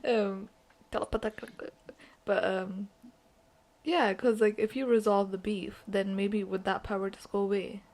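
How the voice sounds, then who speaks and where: A woman's voice: medium pitch, moderate pace. A young woman, a podcast co-host whose first language is Urdu, chatting casually and laughing often. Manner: chatty, giggly, animated. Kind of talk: casual chat.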